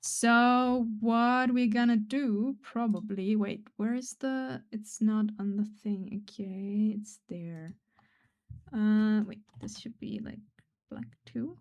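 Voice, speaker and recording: Rambling woman streamer, mid pitch. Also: fairly even intonation, takes it slowly. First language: German. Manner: rambling, self-deprecating, trails off into quiet mumbles. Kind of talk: casual live-stream chat while drawing.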